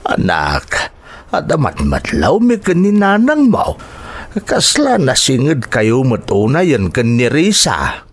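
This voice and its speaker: A man with an Ilocano accent playing a concerned, hesitant old father in an audio drama, in a husky voice. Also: medium pitch, huge pitch swings, takes it slowly, exaggerated voice. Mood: worried. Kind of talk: Ilocano radio-style drama acting.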